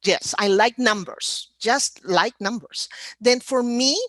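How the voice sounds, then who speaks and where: A woman's voice: a little high, takes it slowly, fairly bright tone, no room sound, studio quality. A middle-aged woman from Peru with a Peruvian Spanish accent, speaking as an economist on a video panel, rambling with frequent fillers and restarts. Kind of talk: virtual panel talk. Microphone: video call.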